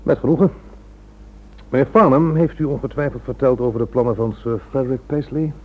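A man's voice: medium pitch, fairly quick, natural voice. A man playing a self-assured, sardonic and slippery police inspector in a radio drama, formal in manner. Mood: dismissive. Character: smooth-talking, condescending, slippery male police inspector. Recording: muffled old radio recording.

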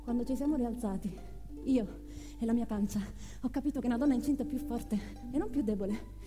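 A woman's voice: a little high, brisk, music in the background, close to an everyday voice. An actress playing a spirited young single mother in a theatrical monologue, emphatic and given to repeating phrases. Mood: reflective. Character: spirited young single mother telling her life story. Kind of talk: theatrical first-person monologue with acted dialogue.

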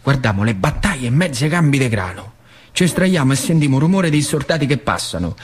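A man's voice: medium pitch, quick pace, a little hiss or hum, lightly acted. An actor playing an old working-class man from a factory town, telling his youth in earthy, repetitive, colloquial theatrical monologue with a central Italian accent. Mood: nostalgic, vivid. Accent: central Italian, northern Lazio. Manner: animated, earthy, conversational, repeats phrases. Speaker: stage actor performing a monologue. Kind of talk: theatrical first-person storytelling in colloquial dialect.

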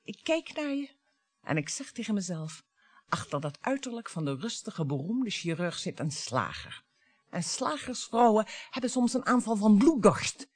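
Radio play: mocking middle-aged wife, bitter, theatrical, very animated. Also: female voice, mid-range voice, moderate pace, no room sound. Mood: mocking, menacing. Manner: taunting, theatrical.

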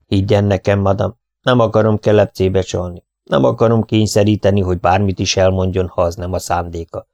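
Composed Francophone sleuth, low gravelly voice, dry and close; male reader doing him. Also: steady tone, a little mellow, no room sound, dull audio. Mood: calm. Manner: measured, soothing, precise. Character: calm, precise foreign male detective.

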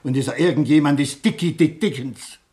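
An actor playing an excitable, self-assured chief police commissioner in a German radio play, with a fussy, officious manner. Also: male voice, fairly high pitch, a little fast, lightly acted. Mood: agitated.

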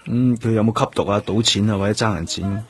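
An actor playing a composed, methodical police detective in a Cantonese radio drama, with a Hong Kong accent and a measured, probing, matter-of-fact delivery. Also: male voice, fairly low pitch, breathy edge, faint music behind. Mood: probing, businesslike. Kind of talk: radio drama acting, questioning and inner monologue.

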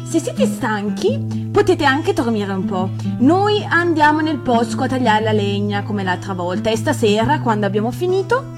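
A harsh stepmother scolds in a high, swooping voice that stretches words out. She sounds hard and cutting throughout. Guitar music plays clearly underneath. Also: very animated. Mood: falsely sweet. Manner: shrill, scolding, drawn-out words. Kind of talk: fairy tale read aloud on radio.

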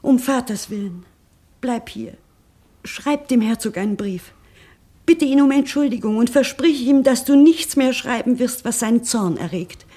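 Worried mom in a radio play, highish husky voice, begging and fretting. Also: female voice, measured pace, mellow. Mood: pleading. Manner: pleading, anxious. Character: worried middle-aged mother, pleading and anxious. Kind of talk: 1950s-style radio drama acting.

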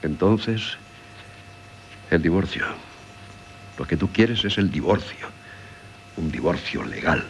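An actor playing a troubled, reasoning middle-aged husband in a 1970s radio drama, with a Castilian accent. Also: male voice, low voice, lively intonation, takes it slowly, creaky, pauses often, full character voice. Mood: shaken, wounded. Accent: Castilian Spanish. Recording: hissy 1970s radio recording.

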